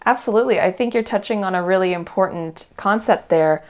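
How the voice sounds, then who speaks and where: A woman from Canada, hosting an immigration talk show, reading news items and then chatting with a guest in a clear, steady delivery. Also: medium pitch, fairly quick. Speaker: host. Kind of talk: news segment then casual chat.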